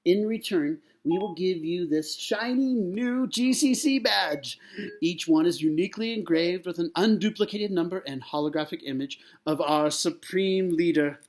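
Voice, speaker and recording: A man's voice: high-pitched, normal speed, voice pushed up, natural voice. A man playing an overdramatic, falsely friendly starship captain in a comic sci-fi monologue, with exaggerated delivery. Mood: patronizingly friendly. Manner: exaggerated, overacted.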